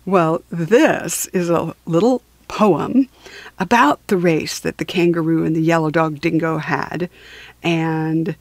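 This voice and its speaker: Slow, dramatic woman storyteller. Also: fairly low pitch, dry recording. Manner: dramatic, rhythmic emphasis. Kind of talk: expressive children's storybook narration.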